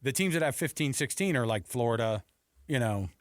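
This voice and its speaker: A man's voice: medium pitch, expressive, fairly quick, dry recording. An American man talks sports as a show co-host. His delivery is informal and a bit rambling, full of asides, with a mid-range voice and a dry, close sound. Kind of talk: conversational sports talk.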